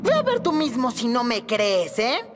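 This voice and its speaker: A woman with a Latin American Spanish accent voicing a boastful, mischievous young boy in a children's cartoon dub, excitable and swaggering. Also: a little high, normal speed, very noisy. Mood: insistent.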